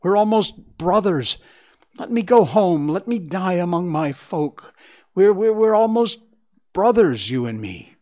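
A man's voice: medium pitch, lively intonation, slow pace, pushed and bright, exaggerated voice. A man voicing a wounded, dying male fox in a storybook, imploring and bitterly reproachful. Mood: weary pleading. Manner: imploring, lamenting, later snarling and hissing.

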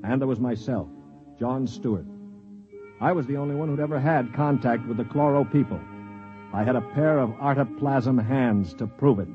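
A man's voice: medium pitch, normal speed, close to an everyday voice. Man doing a level-headed, dry-witted hero narrating a vintage sci-fi radio play. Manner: calm, sardonic, reasoning. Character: world-weary space traveler and narrator. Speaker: narrator and lead. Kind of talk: 1950s radio drama acting. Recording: dull old radio recording with music behind.

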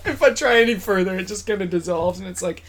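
A man's voice: high-pitched, fast, fairly bright tone. A man chatting casually and playfully as the host of a podcast. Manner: playful, jokey. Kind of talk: casual chat.

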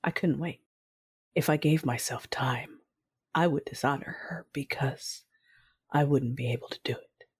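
Woman narrator, low voice, soft hushed delivery, first-person story, quiet music behind. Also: husky. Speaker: writer, director and narrator.

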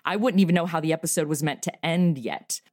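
A woman's voice: low voice, fast talker, darkish tone, no room sound, clean studio sound. A middle-aged woman giving a conversational interview as a guest, explaining her work with enthusiasm. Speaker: interview guest, comedy writer and voice performer.

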